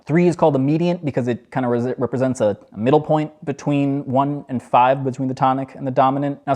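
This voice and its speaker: A man with a low voice giving a casual music theory lesson in a loose, joking, self-deprecating way. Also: a little mellow. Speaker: music teacher.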